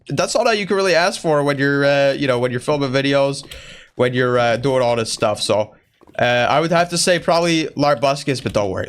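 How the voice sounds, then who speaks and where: A man's voice: a little high, fairly bright tone. A man doing casual, rambling, slangy YouTuber Q&A in a put-on, strained voice that imitates another creator. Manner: slangy bro-talk, rambling. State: voice sore from straining. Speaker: YouTuber answering viewer questions. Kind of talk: casual Q&A vlog chat in character.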